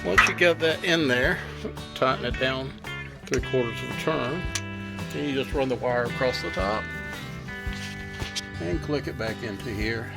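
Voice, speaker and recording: A man's voice: mid-range voice, a little slow, gravelly, long pauses, over loud music. Casual man narrating a car repair. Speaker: DIY car repair video host. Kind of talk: casual hands-on how-to narration.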